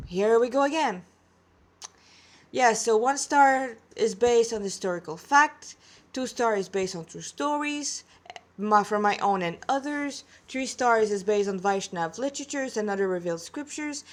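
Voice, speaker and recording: A woman reading her own story aloud as narrator, with casual explanatory asides. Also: fairly high pitch.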